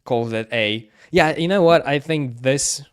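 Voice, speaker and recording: A man livestreaming casual commentary on game cutscenes, talking haltingly and often repeating himself. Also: mid-range voice, clean tone. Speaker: streamer.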